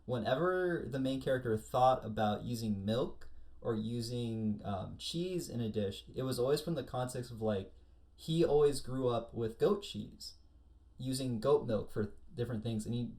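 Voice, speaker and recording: A man's voice: medium pitch, a little mellow. A man co-hosting a casual panel discussion, telling things in a chatty, informal way. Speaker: podcast co-host.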